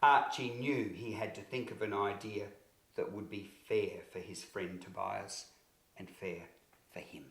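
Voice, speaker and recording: A man narrating a scripted children's story in an expressive, deliberate way, with emphatic phrasing for young listeners. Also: fairly low pitch, gravelly. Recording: roomy, echoing sound.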